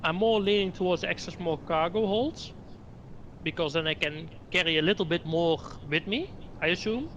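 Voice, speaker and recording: A man with a Dutch accent talks through a game in a loose, hesitant way, hunting for words. His voice sits a bit high for a man. A faint hum runs under the recording. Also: normal speed, fairly bright tone, dull audio. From Netherlands. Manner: hesitant, thinking aloud with fillers. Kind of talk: casual gaming chat.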